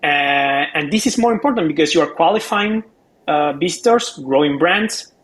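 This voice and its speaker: Higher-voiced man explaining casually. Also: expressive, moderate pace, a bit bright. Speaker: expert co-host. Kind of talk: casual expert commentary.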